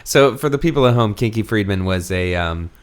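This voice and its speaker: A man's voice: fairly low pitch, lively intonation, fairly quick. A man hosting a podcast, chatting casually about books with wry jokes and easy swearing. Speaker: podcast host.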